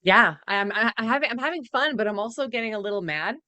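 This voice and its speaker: A woman's voice: a little high, expressive, quick pace, sharp tone, dry recording. A woman co-hosting a podcast, reacting casually in a blunt, emphatic way. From Canada. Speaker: podcast co-host.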